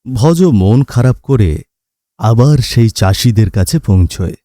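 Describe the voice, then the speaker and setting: Lowish-pitched male story narrator. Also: expressive, unhurried, dark tone, no echo, studio quality.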